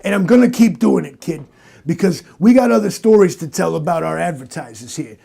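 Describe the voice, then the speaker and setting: A man voicing a gruff, salty, seasoned detective with a gritty voice and a streetwise, badgering swagger, in a comedy parody. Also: fairly high pitch, lively intonation, a little fast, warm tone, full character voice. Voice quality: gritty, creaky.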